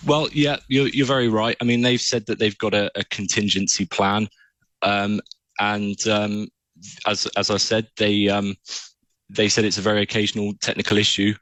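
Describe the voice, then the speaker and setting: A man speaking as a journalist guest in a live news interview, giving measured, careful answers. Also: fairly low pitch, flat delivery, fairly bright tone, no room sound, low-quality recording.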